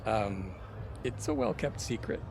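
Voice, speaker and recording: A man's voice: on the low side, unhurried, darkish tone, a little hiss or hum, low-quality recording. A man playing a smug middle-aged divorced womanizer in a comedy audio drama, talking with easy self-assurance. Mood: smug, interested. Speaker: voice actor playing the hero's friend. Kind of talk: community audio theatre comedy acting.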